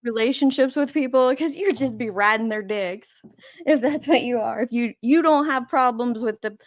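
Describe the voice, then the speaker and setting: A woman's voice: a little high, moderate pace, no room sound, studio quality. A woman hosting a casual livestream, sarcastic and indignant, swearing freely, with a voice she calls whiny and babyish. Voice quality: describes her own voice as whiny and babyish. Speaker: YouTube livestream host.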